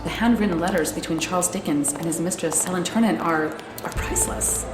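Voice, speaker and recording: An actress playing an eager museum acquisitions director in an audio drama, effusive, deferential and a little flustered. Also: female voice, on the low side, a little fast, close to an everyday voice. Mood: gushing, flustered. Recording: roomy echo with music underneath. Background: music.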